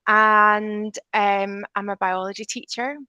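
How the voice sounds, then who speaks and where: A woman's voice: medium pitch, flat delivery, slow pace, bright, forceful tone, clear, resonant tone, no room sound, lo-fi sound. A middle-aged woman with a Scottish accent, a teacher speaking earnestly and emphatically in a discussion over a remote link. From Scotland. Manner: earnest, emphatic about children's needs. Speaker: teacher at a specialist school. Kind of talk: conversational discussion.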